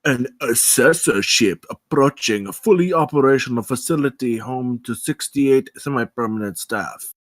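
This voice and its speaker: A man acts a lean, towering head scientist of about sixty. He is suspicious and authoritative, with a mid-pitched voice in a close, dry sound. It is a script table read. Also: moderate pace, a bit bright, no echo, lightly acted. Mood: suspicious, stern.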